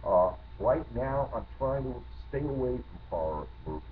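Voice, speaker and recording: A man's voice: medium pitch, lively intonation, normal speed. A middle-aged man from New Jersey, a guest composer telling rambling, reflective anecdotes with many restarts. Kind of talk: storytelling interview answers. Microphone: video call. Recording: tinny lo-fi line with faint noise.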